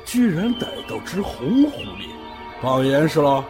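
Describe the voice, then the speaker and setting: A man playing a gruff, crude, gloating hunter in a Chinese audio drama. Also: fairly high pitch, big pitch swings, measured pace. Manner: crude, swaggering. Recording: loud music behind the voice.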